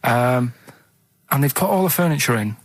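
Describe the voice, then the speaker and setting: Deadpan Northern English man rambling through a story. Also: mid-range voice, normal speed, no echo. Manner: deadpan, matter-of-fact, defensive. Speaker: radio show sidekick telling anecdotes. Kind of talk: casual rambling anecdote.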